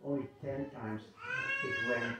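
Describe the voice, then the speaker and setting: A man's voice: medium pitch, steady tone, a little slow, heavy background noise. An older man, a drama director, chatting to the audience before a temple play, joking about his own efforts. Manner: chatty, self-mocking, organizing. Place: wood-panelled temple hall, indoors. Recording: echoey hall, far from the camera.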